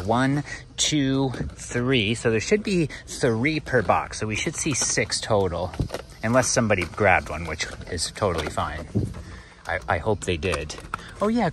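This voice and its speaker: A man's voice: fairly low pitch, background noise. An American man chatting casually to his viewers as a collecting vlogger, in a laid-back, slangy, rambling way. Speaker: toy car collecting vlogger.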